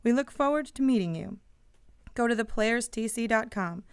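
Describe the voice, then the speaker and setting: A woman's voice: on the high side, lively intonation, normal speed, clean tone, no room sound, studio quality. A woman announcer reading a scripted promotional spot for a theater company.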